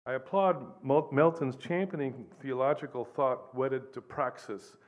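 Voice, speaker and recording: A man in his sixties, raised between the United States and Guatemala, reading an academic paper at a podium in a measured way. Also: mid-range voice, muffled recording. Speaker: lecturer.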